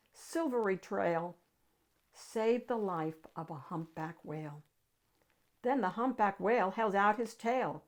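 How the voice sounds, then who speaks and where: A woman reading a rhyming children's picture book aloud expressively, stretching key words for emphasis. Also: mid-range voice.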